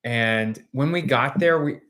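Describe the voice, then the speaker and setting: A man in his late thirties co-hosting a casual live chat, answering viewer questions in measured, plain sentences. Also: medium pitch, a bit bright. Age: 30s. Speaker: co-host of a live chat stream. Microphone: phone front camera.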